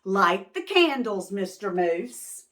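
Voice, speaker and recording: A woman's voice: medium pitch, big pitch swings, takes it slowly, fairly bright tone. A woman voicing a happy, gracious female moose hostess in a children's storybook, gushing and welcoming. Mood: hospitable. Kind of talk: children's picture-book read-aloud.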